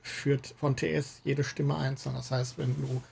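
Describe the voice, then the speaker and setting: Man, gravelly fry, mellow tone, casual livestream host, gaming chat. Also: medium pitch, level tone, moderate pace. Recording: faint background noise.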